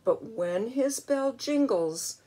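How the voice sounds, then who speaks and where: Older woman, unhurried storybook reader, slightly husky, expressive, with chatty asides for a small child. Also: a little high, low-quality recording. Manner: expressive, exclaiming, talking to a young child. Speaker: grandmother reading a picture book.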